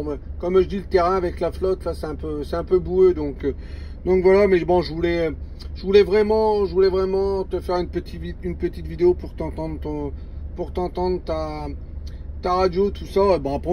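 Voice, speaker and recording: A man chatting casually over CB radio from inside a parked vehicle, often repeating his phrases in a halting way. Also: sits high, lo-fi sound, slight background noise. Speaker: CB radio hobbyist filming a contact.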